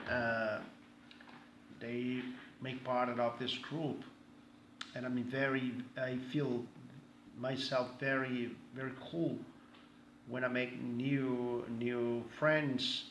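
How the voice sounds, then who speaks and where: Slow halting Brazilian man, echoey room. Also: medium pitch, a bit bright. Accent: Brazilian Portuguese. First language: Portuguese. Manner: halting, searching for words, frequent restarts. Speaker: group member introducing himself. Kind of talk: casual self-introduction. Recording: echoey room, faint ambient noise.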